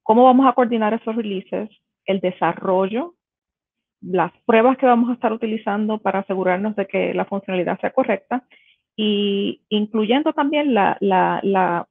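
A woman's voice: mid-range voice, vocal fry, slightly rough, dry recording. Puerto Rican woman on a tinny call. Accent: Puerto Rican Spanish. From Puerto Rico. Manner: explanatory, many fillers and restarts. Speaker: program manager giving a conference talk. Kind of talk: conference presentation. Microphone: video call. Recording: tinny phone-like video call audio.

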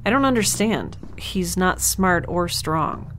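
A woman's voice: fairly low pitch, animated, takes it slowly, a little hiss or hum. A woman playing a dry, sarcastic young scientist. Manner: dry, sarcastic, quietly blunt. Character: sardonic, blunt young woman scientist held captive.

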